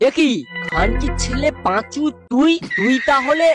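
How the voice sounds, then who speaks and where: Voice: high-pitched, expressive, unhurried, over loud music. A performer voicing a furious, foul-mouthed cheated husband, a young village man in a comic cartoon dub, aggressive and accusing. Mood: angry, accusing.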